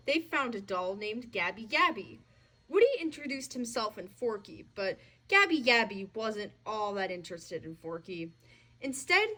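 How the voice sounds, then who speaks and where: A woman's voice: fairly high pitch, a bit bright. A woman reading a children's story aloud in a scripted narration style. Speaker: storybook reader. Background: music.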